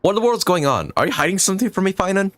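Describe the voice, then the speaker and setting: A man's voice: high-pitched, animated, rapid-fire. A man streaming a casual live reaction to a game, emotionally invested and swearing, sometimes reading lines aloud. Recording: clear game music behind voice.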